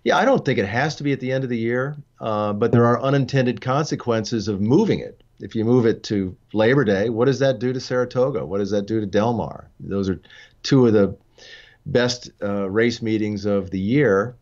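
Older male co-host, low voice, measured delivery, dull lo-fi video call sound. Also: a little mellow. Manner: measured, thoughtful, frequent fillers and restarts. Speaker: co-host and racing journalist. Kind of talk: casual discussion show. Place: studio with dark backdrop.

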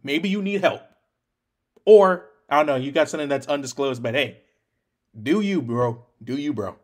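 Animated young American man reacting. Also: on the high side, slow. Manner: animated, slangy, exclamatory. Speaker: reaction video host. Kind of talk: casual reaction commentary. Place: small home room, indoors.

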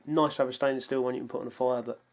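A British man giving a casual, unscripted rundown of his kit in a low-key, halting way, as if thinking aloud. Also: level tone. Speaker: bushcraft hobbyist presenting his kit. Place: indoors on a wooden floor at home. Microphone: camera mic, a short distance away. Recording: noisy home video, clattering gear. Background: clicks and clatter of handled gear.

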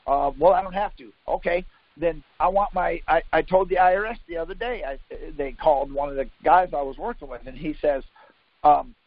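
High-pitched man, insistent quizzing teacher, informal, contradicts bluntly, muffled dry conference call audio. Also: fairly quick, no room sound. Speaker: conference call leader teaching legal theory. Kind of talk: informal instruction by questions. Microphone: conference call line.

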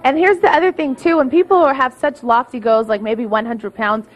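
A woman's voice: sits high, fairly even intonation, moderate pace, low-quality recording. Upbeat woman reporting live from a gym. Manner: upbeat, bright presenter delivery. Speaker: field reporter. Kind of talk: live morning TV field report. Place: busy indoor gym. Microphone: handheld mic.

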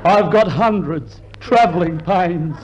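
High-pitched, whining old hypochondriac. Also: male voice, slow, crowd noise. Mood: doleful. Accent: Australian. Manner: doleful complaining. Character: whining, gloomy old hypochondriac delivery man. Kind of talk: 1950s radio comedy acting. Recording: muffled, faintly noisy 1950s radio recording.

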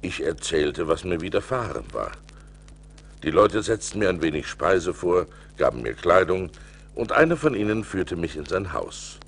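An actor playing a rich seafaring merchant who recounts his adventures as an expressive storyteller in a radio play. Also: deep voice, normal speed, gravelly, husky, heavily acted. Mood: recounting. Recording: vinyl record transfer with music behind.

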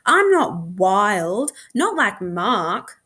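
A woman's voice: mid-range voice, sing-song, drawn-out words, pushed and bright, husky, heavily acted. A woman voicing a confident, cheeky teenage older sister in a storybook, self-assured and teasing.

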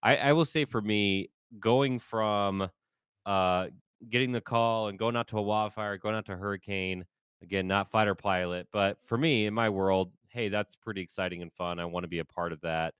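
Thirties male podcast host, low and smooth, dry close mic, easygoing and searching for words. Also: normal speed, dry recording. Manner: relaxed, thinks aloud with fillers. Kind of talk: conversational interview. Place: home office with shelves. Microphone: studio mic on boom arm. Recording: video call.

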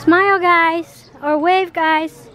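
A woman's voice: extremely high pitch, fairly even intonation, takes it slowly, sharp tone, a little hiss or hum. A woman calling out to her friends by a lake, filming them on a phone. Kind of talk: casual chat with friends. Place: outdoors by a lake. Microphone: phone camera.

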